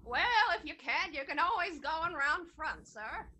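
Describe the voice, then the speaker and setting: A woman's voice: sits high, animated, normal speed, sharp tone, heavily acted. A woman playing a polite, deferential male night watchman in a radio play.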